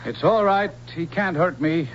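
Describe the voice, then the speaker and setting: A man's voice: a little high, expressive, unhurried. A man playing a loyal, protective humanoid robot servant in an earnest old-time radio drama style. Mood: defiant, urgent. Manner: earnest, theatrical. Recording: dull, hissy old radio recording.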